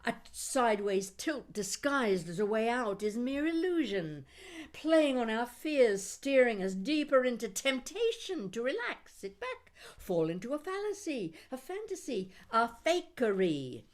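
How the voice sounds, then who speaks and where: A woman reading her own poetry aloud in an expressive spoken-word style, with deliberate, dramatic phrasing and wry humour. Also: on the high side. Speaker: poet reading own work.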